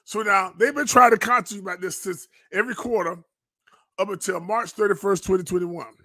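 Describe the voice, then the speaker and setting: A man's voice: high voice, steady tone, fairly quick, bright, forceful tone, no echo. A man, a pastor hosting a live commentary, indignant and emphatic, repeating phrases and firing rhetorical questions like a preacher. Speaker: pastor and online show host. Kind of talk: fiery live-stream commentary, preacher's cadence.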